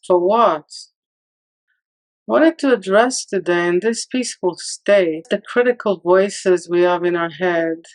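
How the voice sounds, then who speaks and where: A woman leading a guided meditation in a calm, soothing manner, leaving long pauses between short phrases. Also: mid-range voice, low-quality recording.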